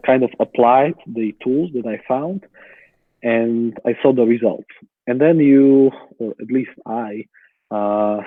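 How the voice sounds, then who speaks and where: A man's voice: medium pitch, no room sound. A young man from Slovakia with a Slovak accent, a guest explaining his research in casual chat, thoughtful with many fillers. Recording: tinny phone-like call audio.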